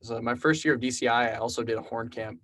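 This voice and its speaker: A man in his twenties from South Texas, telling stories casually as a podcast guest, relaxed and rambling with lots of "like" and "you know". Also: medium pitch, fast talker, a little hiss or hum. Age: 20s. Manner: relaxed, rambling storyteller with many fillers. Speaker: podcast guest, programmer and musician. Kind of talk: casual conversational interview.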